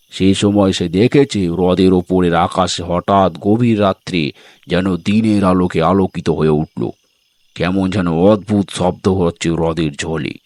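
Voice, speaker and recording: A man's voice: low-pitched, a little mellow, dry recording. A man narrating a scripted story as a dramatic storyteller.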